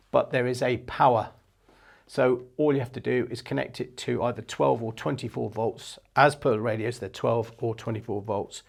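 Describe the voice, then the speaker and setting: A man's voice: medium pitch, vocal fry. A man in his fifties giving an unscripted product demonstration, explaining things in a plain, matter-of-fact way into a lapel mic. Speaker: product presenter for a marine equipment shop. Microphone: clip-on lapel mic.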